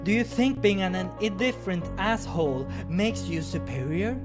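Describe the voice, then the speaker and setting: A man's voice: high-pitched, expressive, normal speed, over music, pitched above the performer's own voice. A man voicing an indignant, sassy, easily flustered male cat in a visual novel, scolding and exasperated.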